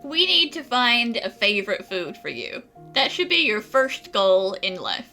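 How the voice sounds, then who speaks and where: A woman playing an upbeat, wry young woman in a video game, breezy, expressive and encouraging. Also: mid-range voice, measured pace, faint music behind, heavily acted.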